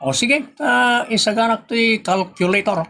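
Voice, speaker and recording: A woman with an Ilocano accent playing a pushy, wheedling investment peddler in a comedy audio drama, coaxing and animated. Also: a little high, unhurried.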